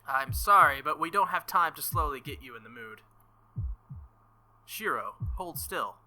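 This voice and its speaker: A man's voice: a little high, lively intonation, unhurried, sharp tone, full character voice. A man reading the lines of a bossy, teasing teenage girl mage from a visual novel, in his own voice.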